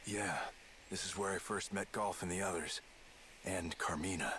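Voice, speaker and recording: An actor playing a guarded, plain-spoken spy in a video game, with a gruff, casual, clipped delivery. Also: male voice, low voice, fairly even intonation, normal speed, pushed and bright, a little hiss or hum. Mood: laconic, reflective.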